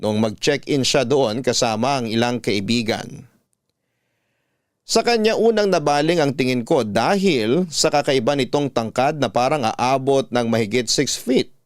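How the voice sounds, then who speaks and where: A man's voice: medium pitch, a little slow, no room sound, clean studio sound. A man reading a first-person love story as scripted narration, in the role of a radio storyteller.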